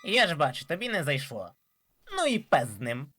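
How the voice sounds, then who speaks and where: A woman's voice: deep voice, sing-song, normal speed, heavy background noise. Woman voicing a swooping, boastful skeleton guy. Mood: proud, hopeful. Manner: grandiose, theatrical self-praise. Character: boastful, excitable, earnest male skeleton, younger brother. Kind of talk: animated cartoon fan dub. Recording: music under the voice. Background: loud music.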